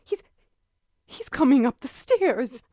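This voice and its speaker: A woman's voice: high-pitched, very animated, slow, mellow, no room sound, studio quality, exaggerated voice. A woman playing a nervous, demanding bedridden wife in an old-time radio thriller, fretful and dramatic. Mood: panicked, pleading. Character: nervous, imperious invalid wife, bedridden, increasingly terrified.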